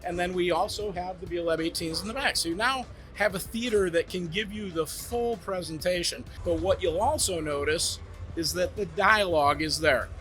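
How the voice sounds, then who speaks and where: A man giving an eager sales pitch as a brand representative, demonstrating home theater gear in a trade show demo room. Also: normal speed, fairly bright tone, faint music behind. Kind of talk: product demo talk.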